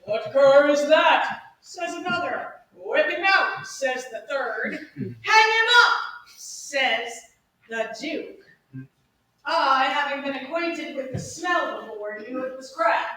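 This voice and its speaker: A woman playing a put-upon comic male servant, grumbling and declaiming slowly in a staged Shakespeare reading. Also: high-pitched, lively intonation, pushed and bright, heavily acted. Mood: aggrieved, comic. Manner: slow, declamatory storytelling, mimicking quoted speakers. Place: live staged reading, recorded from audience distance. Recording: echoey room, distant mic, faint noise.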